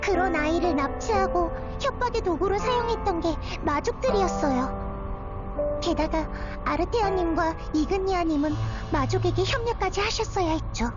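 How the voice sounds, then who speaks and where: A gentle young maiden speaks in a very high, girlish register. Her words are formal and heartfelt, with old hurt in them. She comes through a slightly dull game recording with prominent music. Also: expressive, normal speed. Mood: pained, resentful. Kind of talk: video game character voice acting. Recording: dull audio under loud music. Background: loud music.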